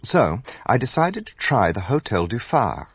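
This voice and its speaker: An actor playing an earnest, impulsive gentleman who narrates a radio play in an expressive way. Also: mid-range voice, a little slow, husky, no room sound, dull audio, heavily acted.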